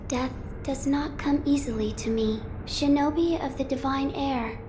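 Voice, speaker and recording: High, solemn young divine girl, played by a woman. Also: darkish tone, close to an everyday voice. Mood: grave, resolved. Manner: measured, formal, archaic phrasing. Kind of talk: video game cutscene acting. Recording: clear background ambience noise.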